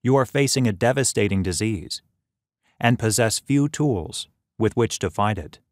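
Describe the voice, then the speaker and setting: A man reading scripted audiobook narration in a measured, expressive storytelling manner, close on the microphone. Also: lowish voice, no room sound. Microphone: close studio microphone.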